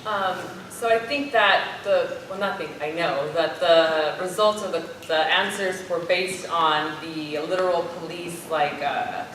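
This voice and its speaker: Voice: mid-range voice, unhurried, few pauses, a bit bright. A member of a production team answering questions informally after a show. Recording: very echoey, distant, faint noise.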